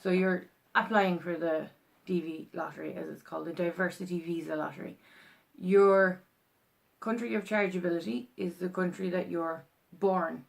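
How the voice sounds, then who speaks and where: Chatty Irish woman with a gravelly voice. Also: fairly low pitch, steady tone, normal speed. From Ireland. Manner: rambling, chatty, full of ums and restarts. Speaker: vlogger. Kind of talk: casual explainer vlog.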